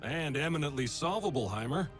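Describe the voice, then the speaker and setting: Guy playing a cocky young genius inventor from a game, higher voice, bouncy delivery, faint music. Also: lively intonation, brisk, close to an everyday voice. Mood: confident, playful. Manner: confident, wry, quippy. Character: cocky, witty young male inventor hero. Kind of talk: game voice acting.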